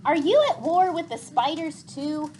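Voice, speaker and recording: A woman's voice: high voice, measured pace, darkish tone, slight background noise, voice pushed up. A woman reading the part of a young boy hero in a storybook, irritated but kind, with blunt exclamations and questions. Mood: alarmed.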